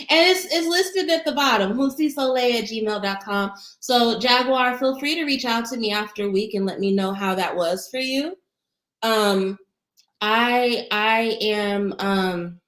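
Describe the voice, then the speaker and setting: Soothing woman in a roomy space. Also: mid-range voice. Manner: gentle, encouraging, unhurried. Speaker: meditation teacher. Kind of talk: casual livestream Q&A. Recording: roomy echo.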